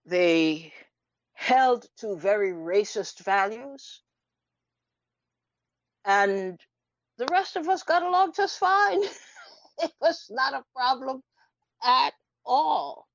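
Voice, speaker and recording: A woman hosts a radio talk show in dull, lo-fi sound. She speaks slowly and emphatically, posing rhetorical questions and leaving deliberate pauses. The recording is dry, with very little room around her voice. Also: fairly high pitch, dry recording. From Trinidad. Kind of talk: unscripted radio commentary.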